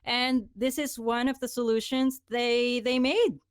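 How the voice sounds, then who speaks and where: A woman from the Philippines chats casually as a podcast co-host. Her voice is somewhat high, and she explains things conversationally, doubling back on words. The recording is dry and free of room sound. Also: steady tone, measured pace, dry recording. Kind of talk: casual chat.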